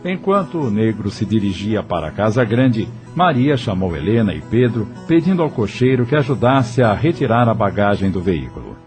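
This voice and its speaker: Low-voiced man narrating. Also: sing-song, moderate pace, few pauses. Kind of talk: scripted audio novel narration. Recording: faint music behind.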